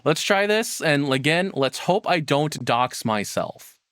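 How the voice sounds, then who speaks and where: A man's voice: on the high side, very animated, takes it slowly, fairly bright tone, no room sound, clean studio sound. A man hosting a livestream, chatting casually to viewers in a rambling, self-deprecating way. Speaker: livestream host. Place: own room. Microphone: processed streaming microphone, close and dry.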